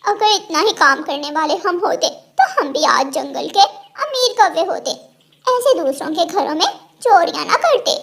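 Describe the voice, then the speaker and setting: Woman playing lazy high-voiced female cartoon crow. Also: animated, normal speed, gravelly, a bit bright, exaggerated voice. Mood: mocking. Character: lazy, vain, sly female crow wife.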